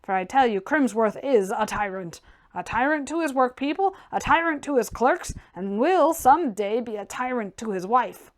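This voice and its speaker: A woman voicing a blunt, fiery, mocking gentleman reformer in a forceful, declamatory audiobook reading. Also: a little high, lively intonation, moderate pace, close to an everyday voice. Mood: scornful, heated.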